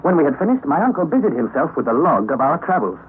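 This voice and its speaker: A man's voice: a little high, sing-song. Harry, a man narrating as a young nephew. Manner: measured storytelling narration. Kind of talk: 1930s radio drama narration. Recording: tinny lo-fi old radio recording, faint hiss.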